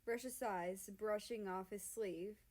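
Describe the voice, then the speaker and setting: A woman with a mid-pitched voice narrates a story. She reads from a written text as a fiction reader. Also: slow, a little mellow.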